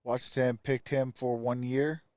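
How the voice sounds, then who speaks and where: Man, streamer, casual draft commentary, smooth clean tone, dry close sound. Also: medium pitch, little pitch movement, measured pace, darkish tone, no echo. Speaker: live stream host.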